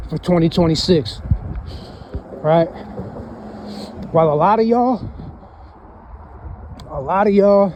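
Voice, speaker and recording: A high-voiced man of thirty with a drawl rambles through a casual motivational talk and keeps circling back to the same points. His tone is mellow and relaxed rather than bright. Faint traffic and street sounds hang behind him outdoors. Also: low-quality recording. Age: 30s. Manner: rambling, repeats himself, keeps saying "man" and "you know what I'm saying". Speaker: vlogger.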